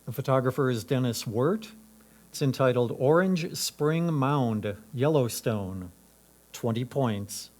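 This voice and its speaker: A man's voice: mid-range voice, expressive, slow, a little mellow, a little hiss or hum. Man formally announcing competition results. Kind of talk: formal announcing of names, titles and scores.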